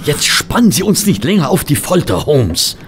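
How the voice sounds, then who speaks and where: Loyal gentleman sidekick, hugely varied intonation, mildly exasperated, audio drama, clear background noise. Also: fairly high pitch, big pitch swings, normal speed. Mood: inquisitive. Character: loyal, inquisitive, slightly impatient gentleman companion. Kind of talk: German audio drama acting.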